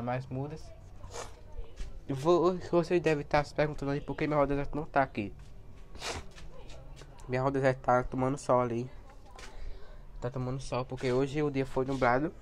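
A young man with a cold and a hoarse voice, chatting casually as he films his plants outdoors on a handheld phone. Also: medium pitch. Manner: chatty, points things out to viewers. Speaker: plant vlogger. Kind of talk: casual walkthrough vlog. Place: outdoor backyard garden area. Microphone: handheld phone camera.

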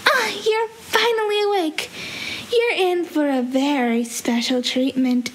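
A woman's voice: high voice, steady tone, unhurried. A young woman voicing a manically cheerful, deranged female cartoon villain creature with a gleeful, sinister, coaxing delivery. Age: young adult. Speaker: amateur voice actor. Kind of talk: theatrical character voice lines. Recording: faint hiss, home recording.

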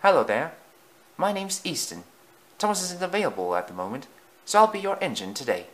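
Man voicing a cocky young tank engine, lively pitch. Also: a little fast. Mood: breezy, innocent. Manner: breezy and boastful, stammering when cornered.

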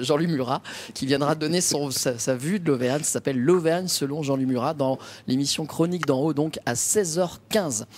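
A man's voice: on the high side, darkish tone. A man in his thirties hosting a television programme in a conversational presenting style on a handheld microphone. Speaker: TV host. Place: indoor TV set in a lobby bar.

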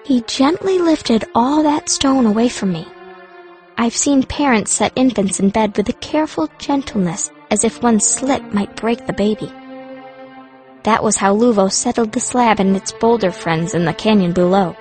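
A woman with a fairly high voice narrates a fantasy audiobook. She tells the story in the first person as a fierce young girl mage, with music faintly behind her. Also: normal speed, darkish tone, rough recording.

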